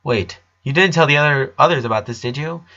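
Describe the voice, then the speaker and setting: A man's voice: medium pitch, fairly quick, pushed and bright, highs cut off, lightly acted. A man voicing an anxious eleven-year-old boy who stammers and pleads urgently, in a cartoon fan fiction reading. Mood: pleading.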